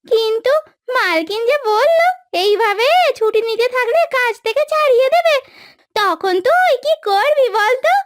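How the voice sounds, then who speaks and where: A woman's voice: extremely high pitch, lively intonation, a little slow. A woman voicing an insistent, chatty housemaid who nags her friend, in a cartoon moral story. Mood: urgent warning. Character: chatty, nagging fellow housemaid woman, urgent warning friend. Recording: dry studio voice over background music.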